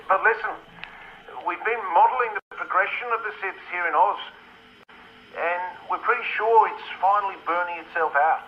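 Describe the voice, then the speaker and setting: An actor playing an Australian male researcher talking gravely over shortwave radio in a radio drama. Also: high-pitched, moderate pace, lo-fi sound. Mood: grave, informative. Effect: shortwave radio filter. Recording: band-limited, noisy shortwave radio sound.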